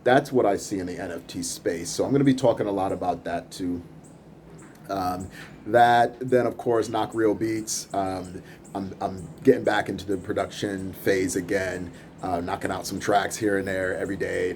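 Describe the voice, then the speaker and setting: Deep voiced guy rambling on camera from his apartment, laid back, bit of city noise behind. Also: moderate pace. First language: English. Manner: rambling, relaxed, laughs between thoughts. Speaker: podcast host and music producer. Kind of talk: casual off-the-cuff vlog. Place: apartment in a big city, filming out over rooftops.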